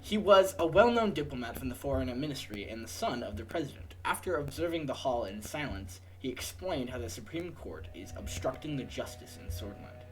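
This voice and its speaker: A man narrates a game for his friends over faint music. His pitch is lively and varied, and his delivery is informal, moving between read-out story text and casual remarks. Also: a little fast, hardly any pauses, low-quality recording. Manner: informal, swearing, joking asides. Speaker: host reading the game aloud. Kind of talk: casual group game session, reading narration aloud.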